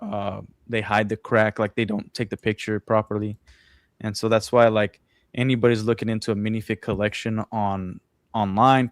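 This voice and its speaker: A man's voice: fairly low pitch, no room sound, studio quality. Chatty man, English his third language. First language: not English. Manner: talkative, explains at length with fillers. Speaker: co-host. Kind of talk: casual chat on a livestream.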